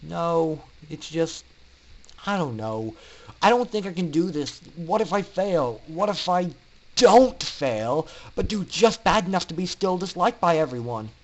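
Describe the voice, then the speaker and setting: A skinny, anxious young male pony from a story, acted by a male reader. The voice sits higher, worried and halting, with a sarcastic edge. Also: very animated, low-quality recording.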